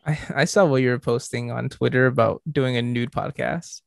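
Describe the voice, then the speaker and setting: A young man co-hosting a podcast, teasing his friend with quick comebacks in casual chat. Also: mid-range voice, fairly even intonation, gravelly, dry recording. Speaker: podcast co-host.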